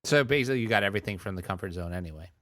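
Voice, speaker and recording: A man co-hosting a podcast in casual chat, answering with dry, deadpan quips. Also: low-pitched, racing, no echo. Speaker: podcast co-host.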